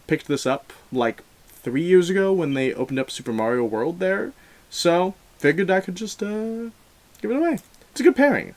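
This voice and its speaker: Guy hosting a youtube review show, casual n playful, kinda self-mocking, super animated pitch all over. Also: moderate pace. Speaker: host of a film review show. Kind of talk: casual vlog outro announcing a giveaway.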